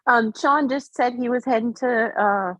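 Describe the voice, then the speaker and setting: A woman's voice: fairly high pitch, moderate pace, fairly bright tone, dry recording. A woman with an American country accent, hosting a casual, folksy livestream chat while sewing, on muffled audio. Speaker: livestream host.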